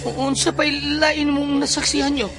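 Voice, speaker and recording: A man voicing an excitable, nosy companion in a horror audio drama, with a Filipino accent and insistent, exclamatory talk. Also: extremely high pitch, unhurried. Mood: startled, excited.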